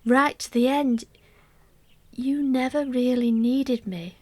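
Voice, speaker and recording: An actress playing a wistful middle-aged mother in a radio play monologue, confiding and measured, often dropping almost to a whisper. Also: sits high, steady tone, a little mellow. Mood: wistful, sad. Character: middle-aged wife and mother, wistful, secretly grieving a love affair. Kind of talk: intimate dramatic monologue, scripted radio play narration.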